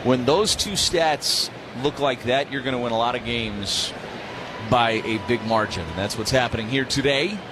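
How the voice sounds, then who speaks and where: A man's voice: mid-range voice, moderate pace. An American man calling a baseball game live on the radio as play-by-play announcer. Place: stadium broadcast booth during a game. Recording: clear crowd noise behind the voice. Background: ballpark ambience.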